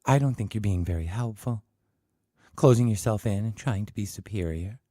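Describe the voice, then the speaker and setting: A man's voice: lowish voice, normal speed, mellow, no room sound, clean studio sound, pitched above the performer's own voice, close to an everyday voice. A man voicing a cold, self-righteous teenage girl who lectures in a cool, preachy way.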